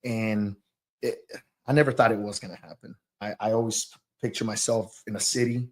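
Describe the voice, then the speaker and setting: Low-voiced, halting man from southern Mexico, slightly nervous. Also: steady tone. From Oaxaca, southern Mexico. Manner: halting, reflective, searching for words. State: a little nervous. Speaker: guest, youth soccer coach and business owner. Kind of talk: personal storytelling in a podcast interview.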